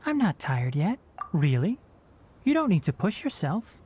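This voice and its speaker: Low-voiced, thoughtful young scholar ranger. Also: female voice, sing-song, warm tone, slight background noise. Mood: gently concerned. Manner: measured, articulate, earnest. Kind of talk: anime game voice acting. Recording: music behind the voice. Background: background music.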